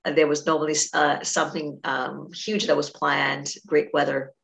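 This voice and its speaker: A woman's voice: low-pitched, little pitch movement, normal speed, vocal fry, fairly bright tone. A middle-aged woman from Trinidad hosting a podcast, chatty and storytelling, talking over a remote call. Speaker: podcast host. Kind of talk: conversational interview.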